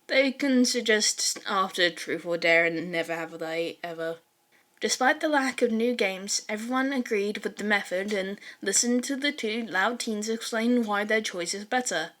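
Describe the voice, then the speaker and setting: A woman's voice: mid-range voice, moderate pace, fairly bright tone. A woman reading fan fiction aloud as a scripted story narration.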